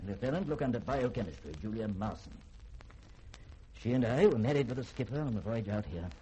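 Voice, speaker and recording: An actor playing a learned, secretive middle-aged scientist in a 1950s radio drama, speaking in formal, polished, theatrical sentences with a husky edge. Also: male voice, fairly low pitch, expressive, brisk, mellow, a little hiss or hum, close to an everyday voice, low-quality recording. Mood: courteous.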